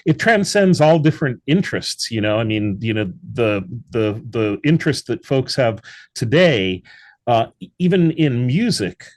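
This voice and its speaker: A man's voice: medium pitch, very animated, moderate pace, a little mellow. A middle-aged man, a theatre founder, chatting as an interview guest, rambling with many restarts and fillers. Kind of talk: casual interview chat.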